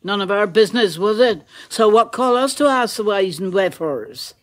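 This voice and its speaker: A woman's voice: medium pitch, expressive, normal speed, close to an everyday voice. A woman playing an old village gossip. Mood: ominous. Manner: rustic dialect, hushed and uneasy, dropping to whispers. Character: older village woman, a nervous, superstitious local. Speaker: village neighbour. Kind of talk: radio drama acting.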